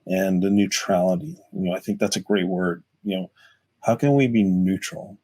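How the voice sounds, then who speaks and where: A man's voice: low voice, fairly even intonation, moderate pace, darkish tone. A man co-hosting a podcast, talking in an earnest, reflective way and often repeating words. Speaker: podcast co-host. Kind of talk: casual podcast chat.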